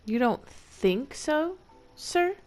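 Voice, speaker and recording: A woman's voice: high voice, big pitch swings, drawn-out words, darkish tone, faint music behind. A woman streamer giving casual, sarcastic gameplay commentary in a slangy, wry manner.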